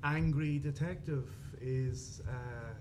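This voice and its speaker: A man's voice: mid-range voice, slow pace, darkish tone, a little hiss or hum. An Irish man with a Dublin accent, talking discursively and hesitantly as an author on a panel. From Dublin, Ireland. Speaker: panellist, crime writer.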